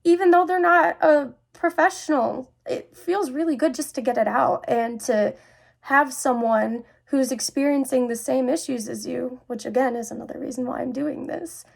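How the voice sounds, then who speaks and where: Young woman, kinda high voice w heavy fry, earnest and confiding personal talk. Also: moderate pace. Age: young adult. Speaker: host, student sharing personal experiences. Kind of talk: personal talk to camera about mental health.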